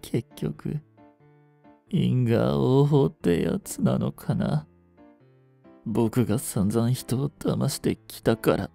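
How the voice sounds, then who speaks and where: An actor playing a young genius swindler in a romantic audio drama, lovestruck, jealous and boyishly flustered, speaking politely. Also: male voice, mid-range voice, takes it slowly, vocal fry, mellow, faint music behind, exaggerated voice. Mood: dejected, confused. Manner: polite speech, stammering when flustered. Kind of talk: romantic audio drama acting, addressed to the listener.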